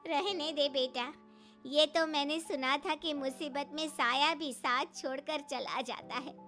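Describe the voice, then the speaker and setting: A woman's voice: high-pitched, fairly even intonation, unhurried, sharp tone, faint music behind, voice pushed up. A woman voicing a middle-aged Indian aunt, a worried mother who speaks plaintively and with reproach in a family story. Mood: bitter, reproachful.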